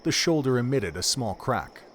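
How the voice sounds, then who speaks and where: A man giving scripted story narration for an audio drama in a measured, even way. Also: mid-range voice, slight background noise.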